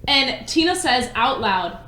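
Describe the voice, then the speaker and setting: A woman's voice: high-pitched, sing-song, unhurried, pushed and bright, reverberant, a little hiss or hum. A woman in her twenties co-hosting a podcast, telling stories in casual chat and laughing often. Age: 20s. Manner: giggly storyteller, laughs often. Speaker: podcast co-host.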